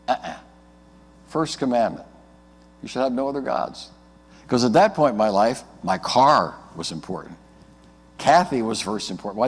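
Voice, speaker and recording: A mature American man, a pastor, delivers an earnest sermon with emphatic phrasing and deliberate pauses inside a church. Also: mid-range voice. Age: middle-aged or older. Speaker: Lutheran pastor. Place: indoors at the front of a church, before the altar. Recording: reverberant church hall.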